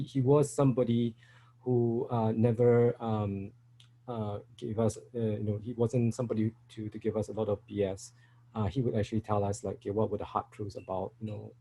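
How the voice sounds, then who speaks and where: A man's voice: lowish voice, mellow, poor audio. A middle-aged man from Singapore with a Singaporean English accent, giving a talk at a developer meetup, hesitant with many fillers and restarts. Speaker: meetup speaker. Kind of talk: conference talk.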